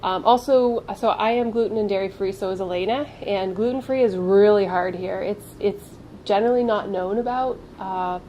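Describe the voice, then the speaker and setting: A woman's voice: mid-range voice, moderate pace, hardly any pauses, dark tone, slight background noise. A woman hosting a family travel vlog, talking casually with a stuffed-up nose from a cold. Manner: chatty, candid, many fillers. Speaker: vlog host.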